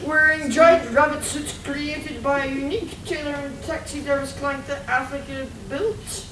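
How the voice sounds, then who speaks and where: A woman's voice: high voice, moderate pace. A woman narrating a scripted radio play in a theatrical storytelling manner. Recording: echoey hall, distant mic, noisy.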